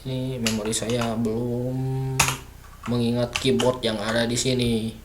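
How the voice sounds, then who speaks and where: A man's voice: medium pitch, flat delivery, measured pace, heavy background noise. A man teaching basic coding in a casual screen-recorded lesson, thinking aloud with many fillers and self-corrections. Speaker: self-taught coding tutor.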